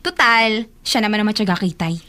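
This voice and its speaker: A woman's voice: mid-range voice, a little fast, pushed and bright, lightly acted. An actress playing a callous young elder sister in a radio drama, flippant and dismissive in her delivery. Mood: indifferent, smug.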